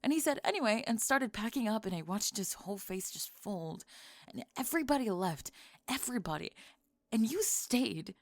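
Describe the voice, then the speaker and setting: A young woman playing a sharp-tongued, defensive goth college student who is secretly smitten, in an ASMR roleplay, speaking in halting, clipped, emphatic phrases. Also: fairly high pitch, animated, dry recording. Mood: earnest, confessing. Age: young adult. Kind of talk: ASMR roleplay monologue, intimate audio drama.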